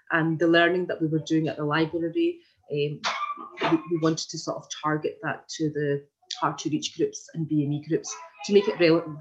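A woman in her forties with a Glaswegian Scottish accent answers informally in an interview over a video call, in a hesitant, meandering way with frequent repetitions. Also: low voice. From Glasgow, Scotland. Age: 40s. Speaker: interviewee, community development worker. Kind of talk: informal oral history interview. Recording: muffled lo-fi video call audio.

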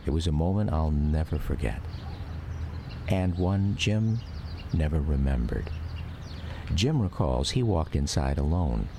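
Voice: a bass voice, lively intonation, measured pace, long pauses, background noise. A narrator telling a scripted memoir in a reflective way for a radio drama. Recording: music behind the voice. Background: music.

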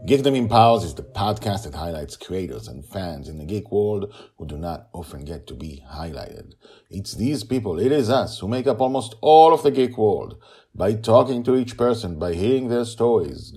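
A man hosting a podcast, reading a deliberate, earnest intro. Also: deep voice, mellow, breathy edge. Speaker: podcast host.

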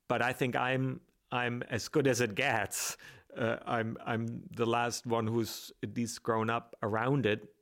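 Hesitant gravelly-voiced man with roots. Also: fairly low pitch, fairly bright tone. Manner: hesitant, with many restarts and fillers. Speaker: author and guest. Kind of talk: reflective interview talk.

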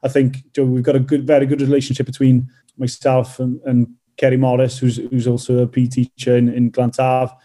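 Welsh male athlete, 30s, hesitant and halting, dark even delivery. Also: medium pitch. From North Wales. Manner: hesitant, many fillers and restarts. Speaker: rugby player and teacher. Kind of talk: casual interview answers.

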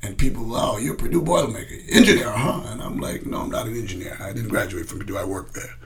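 A man's voice: fairly low pitch, very animated, fast talker, crowd noise. A man who grew up in the Bahamas, a coach telling stories casually in an interview, emphatic and often repeating his words. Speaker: college coach being interviewed. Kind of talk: casual conversational storytelling.

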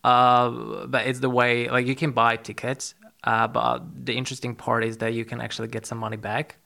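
A man hosting a podcast in a conversational interview style, explaining things haltingly with frequent pauses. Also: medium pitch, level tone, creaky, sharp tone. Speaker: podcast host.

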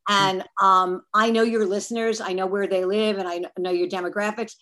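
A woman's voice: mid-range voice, level tone, brisk, sharp tone. A woman who co-founded a nonprofit speaks frankly and earnestly about mental health in a casual interview. She often restarts her sentences.

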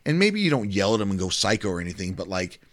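Man, middle-aged, deep voice, very low pitch, casual podcast chat, rambling storyteller. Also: fast talker. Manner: rambling, repeats words, animated when telling stories. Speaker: podcast co-host.

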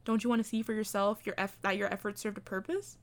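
A woman's voice: mid-range voice, steady tone, fast, no echo, lightly acted. A woman playing an earnest, haunted documentary maker in a radio play, asking short, insistent questions. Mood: urging.

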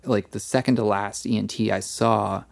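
A man in his thirties, a podcast guest, talking casually and haltingly with many fillers. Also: fairly low pitch, steady tone, vocal fry, no room sound. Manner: trained voice. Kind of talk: casual chat.

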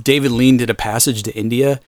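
Man, medium pitch, casual film discussion, sweary, rambling, exasperated, very dry close sound. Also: fairly even intonation, brisk, no echo. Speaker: podcast host.

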